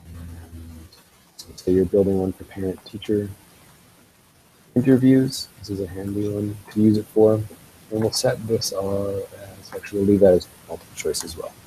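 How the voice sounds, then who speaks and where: A man with a head cold, sounding congested, giving a relaxed screen-share tutorial for teachers with frequent ums. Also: lowish voice, normal speed, a little hiss or hum, rough recording. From Canada. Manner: relaxed, explanatory, with ums and uhs. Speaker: school tech trainer.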